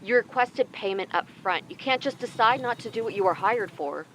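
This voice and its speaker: A man's voice: high-pitched, lively intonation, moderate pace, a bit bright. A pushy undercover company client in a sci-fi audio drama. He is insistent and exasperated as he bargains. A slight noise sits behind the voice. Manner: demanding, exasperated.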